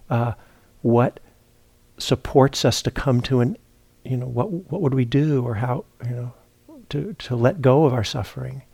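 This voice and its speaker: A man teaching a guided meditation, speaking in a halting, reflective way as he searches for words. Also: medium pitch, warm tone, dry recording. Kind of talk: guided meditation talk, unscripted. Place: meditation retreat center, indoors.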